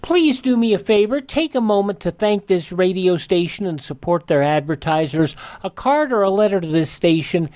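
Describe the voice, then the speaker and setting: A man's voice: high voice, lively intonation, moderate pace, hardly any pauses, darkish tone, no echo. A man hosting a radio show, reading a conversational scripted outro. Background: faint music.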